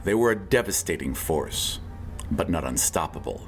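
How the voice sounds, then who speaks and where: A man's voice: a bass voice, very animated, moderate pace. A man reading scripted narration of a fantasy battle story as its narrator. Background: music.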